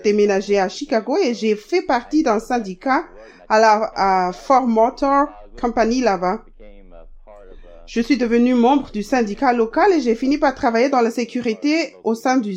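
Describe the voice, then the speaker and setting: Woman reading a steady voice-over. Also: a little high. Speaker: voice-over translator. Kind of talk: read French voice-over of a testimony video.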